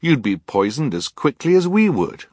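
A man's voice: low voice, expressive, slow, no echo, clean studio sound, full character voice. A man voicing an earnest, reasoning young boy from a storybook, emphatic and nervously defiant.

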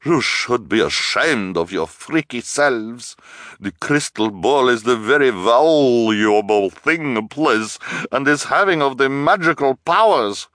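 A man voicing a bad-tempered fake fortune-teller woman who shouts in a put-on odd accent and broken English. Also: medium pitch, expressive, takes it slowly, no room sound, studio quality, voice pushed up. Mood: furious. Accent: put-on odd foreign accent. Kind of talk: audiobook character reading.

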